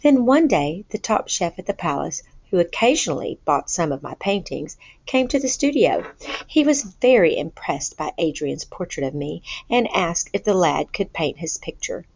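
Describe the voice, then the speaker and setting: A woman reading a children's story aloud in an expressive, deliberate storytelling manner. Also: fairly low pitch, gravelly, slightly rough. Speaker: storybook reader. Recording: boxy roomy sound, dull lo-fi.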